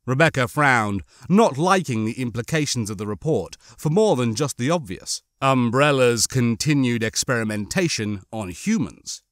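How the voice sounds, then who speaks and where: A man's voice: mid-range voice, animated, moderate pace, few pauses, no room sound, clean studio sound. A man narrating a horror novel as an audiobook, reading a dramatic scripted story.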